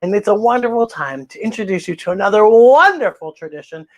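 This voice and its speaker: Children's read-aloud host, female, very animated delivery, effusive, repeats key phrases. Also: medium pitch, a little fast. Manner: exclamatory, gushing, repeats phrases. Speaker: children's story time host.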